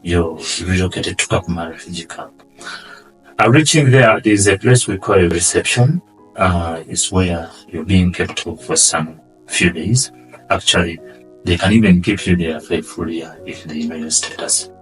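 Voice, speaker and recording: Ugandan guy being interviewed, deep voice, earnest, trails off soft, far off mic. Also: moderate pace, faint music behind. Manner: earnest, often trails off quietly. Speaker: guest, asylum seeker. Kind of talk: interview answers.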